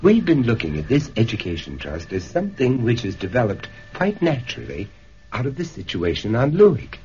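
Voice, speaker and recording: An actor playing a shrewd, sardonic, probing professor in a 1970s radio drama. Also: male voice, mid-range voice, wildly swooping pitch, normal speed, dark tone, a little hiss or hum. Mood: earnest. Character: shrewd, wry male professor investigating a conspiracy. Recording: dull vintage radio recording.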